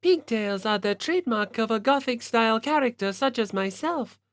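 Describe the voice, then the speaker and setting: A man's voice: very high-pitched, lively intonation, normal speed, fairly bright tone, dry recording, studio quality, pitched above the performer's own voice. A man voicing a haughty, refined gothic teenage girl in an audiobook, with a prim, condescending manner.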